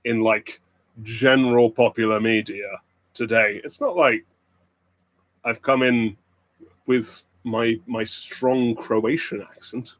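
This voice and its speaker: A man's voice: medium pitch, fairly even intonation, takes it slowly, no room sound. A man with a British RP accent hosting a pub quiz livestream, chatting and joking with viewers. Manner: playful, joking banter with the chat. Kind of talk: casual livestream chat with viewers.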